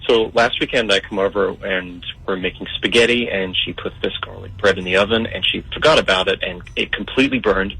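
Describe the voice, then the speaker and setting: A man's voice: lowish voice, bright, forceful tone, husky, rough recording. A young man calling in to a radio show, telling his story in a hesitant, rambling way full of fillers. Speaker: caller.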